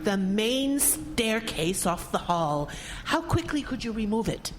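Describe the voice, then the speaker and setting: A woman's voice: medium pitch, big pitch swings, slow pace, noisy background. A woman playing a haughty, pious, wealthy spinster in an audio drama, speaking in a formal, imperious, prickly way. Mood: guarded, impatient.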